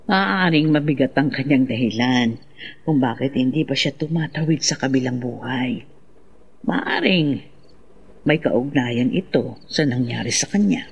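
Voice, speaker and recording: An actor playing a grave, knowing older mother, solemn and foreboding, with a gravelly, husky voice in a radio drama. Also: extremely low pitch, expressive, unhurried, dull audio, heavily acted.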